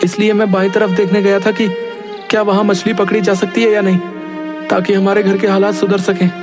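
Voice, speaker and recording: A man's voice: sits high, little pitch movement, fairly quick, over music, natural voice. A man playing an earnest village boy from a fishing family in a dramatized story, explaining himself carefully. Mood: earnest, pleading.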